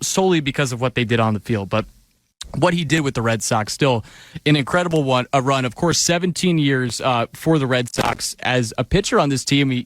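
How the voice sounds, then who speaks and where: Mid-pitched radio studio host, dry sound. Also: male voice, no room sound. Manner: steady broadcast delivery with filler words. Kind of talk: pregame radio show hosting. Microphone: studio microphone.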